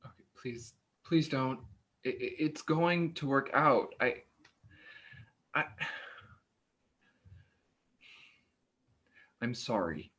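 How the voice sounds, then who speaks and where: A woman's voice: extremely low pitch, lively intonation, slow, fairly bright tone, full character voice. A woman acting an uneasy, evasive neighbor in a play reading, stammering and defensive. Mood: uneasy, apologetic. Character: evasive, uneasy, apologetic neighbor avoiding involvement. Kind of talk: staged play reading, naturalistic dialogue.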